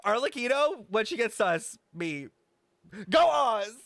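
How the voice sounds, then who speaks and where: A man's voice: very high voice, very animated, takes it slowly, vocal fry, a bit bright, no room sound, studio quality. A man streaming a game with casual live commentary, blunt, sweary and dramatic in a sassy way. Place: close, dry microphone on a live stream.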